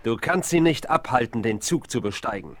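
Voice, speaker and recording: A man's voice: mid-range voice, lively intonation, normal speed, fairly bright tone, dry recording. A man playing a wary, cynical outlaw henchman in a German radio play, blunt and skeptical. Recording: 1970s vinyl radio play.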